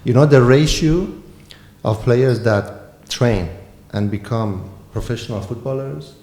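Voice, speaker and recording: A man's voice: mid-range voice, a little slow, darkish tone, echoey room. Iranian-accented man, frank football coach. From Iran. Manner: frank and emphatic. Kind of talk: podcast interview.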